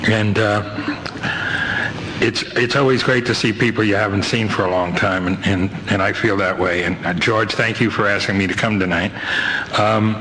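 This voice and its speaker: Bright-voiced New York man, halting storyteller. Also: fairly low pitch, steady tone. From Brooklyn, New York, United States. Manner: rambling, halting, wryly humorous storytelling. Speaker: guest speaker at a recovery meeting. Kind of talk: personal testimony to a live meeting. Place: meeting hall, speaking to a group. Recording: noisy 1990s amateur meeting tape.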